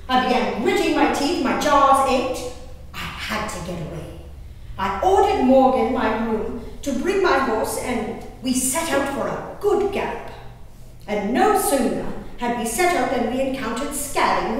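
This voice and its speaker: An excitable, willful young gentlewoman played by a stage actress confides her diary in a dramatic monologue. Her voice is high and unhurried, savoring each word expressively. It carries from a distance through a very echoey hall. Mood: indignant. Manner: dramatic, expressive, savoring words with emphatic pauses. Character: Elizabeth Simcoe, excitable, willful young English gentlewoman diarist. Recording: distant, echoey hall recording, faint noise.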